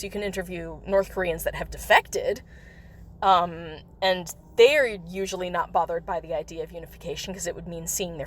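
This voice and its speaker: Chatty woman streamer, a bit raspy. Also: medium pitch. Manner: rambling, chatty, with frequent laughs. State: slightly raspy from coughing. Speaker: livestream host. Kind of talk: casual chat while playing a mobile game.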